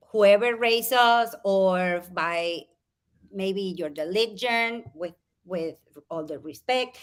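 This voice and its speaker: Woman, guest coach on a live video call, high voice, animated and expressive. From Spain, raised in Venezuela. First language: Spanish. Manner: animated, expressive, quick to laugh. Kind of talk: live interview, explaining a method.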